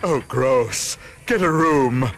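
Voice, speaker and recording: An actor playing a pompous, petulant mad-scientist uncle in a cartoon video game, ranting with theatrical, over-enunciated delivery. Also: male voice, medium pitch, slow, noisy background. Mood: scornful.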